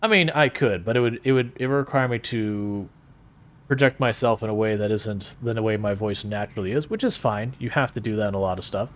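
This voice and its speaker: A man with a soothing voice chatting casually with his stream viewers as a game streamer, relaxed and rambling, often restarting his sentences. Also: lowish voice, brisk.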